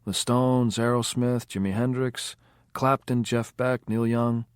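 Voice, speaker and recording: A man's voice: mid-range voice, flat delivery, a little slow, clean tone, dry recording, studio quality, pitched above the performer's own voice, lightly acted. A man voicing an opinionated male rock fan who lectures and lists bands. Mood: lecturing. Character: male rock-music know-it-all, opinionated.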